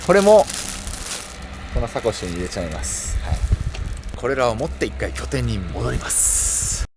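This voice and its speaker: A man's voice: medium pitch, very animated, normal speed. A man presenting a casual vlog to camera, explaining things politely with frequent fillers. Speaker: cycling YouTuber, host. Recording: clear outdoor ambient and vehicle noise.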